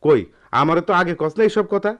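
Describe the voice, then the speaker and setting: A man's voice: high-pitched, brisk, dull audio, close to an everyday voice. An actor playing a humble middle-aged goldsmith and father with a Dhaka-area Bangladeshi accent, earnest and avuncular in a radio drama. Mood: weary, worried. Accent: Bangladeshi, Dhaka-area dialect. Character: patient, humble ageing master goldsmith and gentle father.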